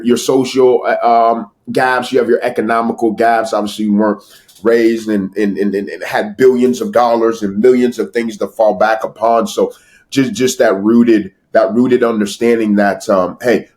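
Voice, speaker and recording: A man's voice: fairly low pitch, darkish tone. An American man talking casually as an interview guest, emphatic and repetitive, with slangy asides to the listener. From United States. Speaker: podcast guest, sales entrepreneur. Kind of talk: casual motivational interview talk.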